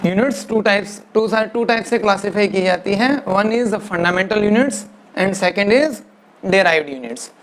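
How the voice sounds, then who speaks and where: A male physics teacher giving a lecture, mixing English into Hindi, dictating definitions slowly word by word and often checking with "right na". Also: sits high, fairly bright tone. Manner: mixes English into Hindi, keeps asking "right na". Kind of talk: classroom lecture.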